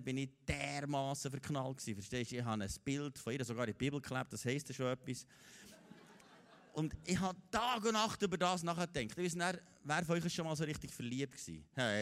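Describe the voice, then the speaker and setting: A man in his fifties with a Swiss German accent, preaching a lively sermon as a guest speaker, joking and rallying the congregation. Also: on the high side. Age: 50s. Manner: exuberant, joking, rallies the crowd.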